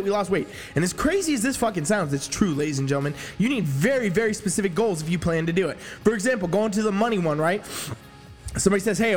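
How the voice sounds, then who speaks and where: A man's voice: high-pitched, in a large hall. A man hosting a fitness podcast as a coach, giving a profane, brash motivational rant, a little sniffly from allergies. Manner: brash, swearing, hype-man delivery.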